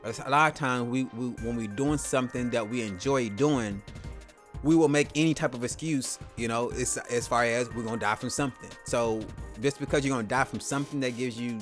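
A man in his late thirties hosting a solo podcast, talking loosely and casually, slipping into slang and playing out short quoted exchanges. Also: mid-range voice. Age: 30s. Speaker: podcast host.

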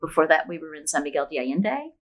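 Lower-voiced middle-aged woman from Scotland. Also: very animated, fast talker, a bit bright. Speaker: guest, novelist. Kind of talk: conversational interview answers.

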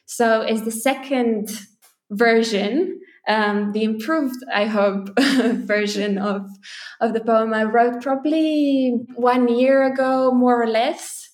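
A thoughtful young woman speaks English, pausing and restarting as she searches for her words. Small laughs break up her answers. Her voice rings out in a roomy, echoey space. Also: on the high side, steady tone, darkish tone. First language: Romanian. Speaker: poet guest. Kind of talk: reflective interview talk. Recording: roomy echo.

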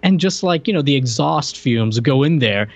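A man's voice: a little high, expressive, normal speed, dry recording, highs cut off. A man co-hosting a podcast, chatting casually about a film and retelling scenes in slangy, incredulous fashion. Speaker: podcast co-host. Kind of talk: casual film-review chat.

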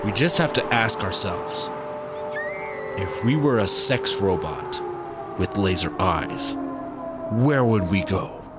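A woman's voice: rumbling low, lively intonation, slow, long pauses, loud music behind the voice, heavily acted. A woman playing an exasperated, skeptical rancher turned reluctant startup worker in a comedy audio drama.

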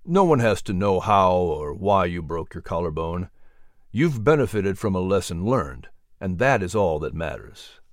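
A narrator voicing a kindly, calm male preacher who mentors gently in a measured, encouraging way. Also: low-pitched, dry recording, studio quality, voice pushed down, exaggerated voice. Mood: kindly, reassuring.

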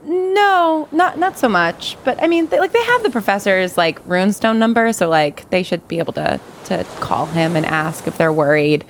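A woman plays a tabletop game, narrating and speaking in character in a casual, improvised way. She is halting and self-amused, at a middling pitch. There is faint noise in the background. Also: very animated.

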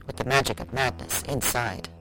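A woman's voice: extremely low pitch, moderate pace, background noise. A woman playing a mad witch spirit who chants a spell over and over in an audio drama. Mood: incantatory. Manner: repetitive chanting.

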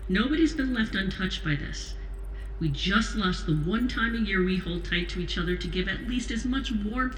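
A woman playing a stern, commanding president in an audio drama, speaking in a measured, authoritative way. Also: on the low side, close to an everyday voice. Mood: grave, concerned. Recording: roomy echo, faint noise.

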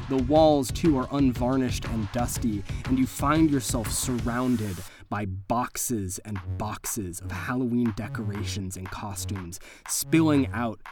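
A man narrating a tabletop horror game as game master, setting scenes in a deliberate, cinematic way. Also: medium pitch.

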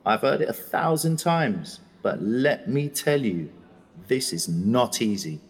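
Middle-aged male prisoner, husky, reflective, indignant, confiding, hall-like reverb. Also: mid-range voice, very animated, exaggerated voice. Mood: indignant, weary. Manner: articulate, measured, confiding. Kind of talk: audio drama monologue.

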